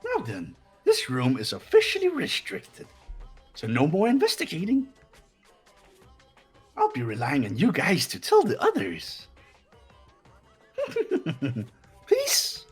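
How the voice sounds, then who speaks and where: A squeaky mascot villain from a video game, swooping high and wild over music. He mocks and taunts in a gleeful sing-song. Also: wildly swooping pitch, slow, pauses often, husky, heavily acted. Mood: gleeful, dismissive. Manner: mocking, sing-song, cackling. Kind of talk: voiced video game playthrough.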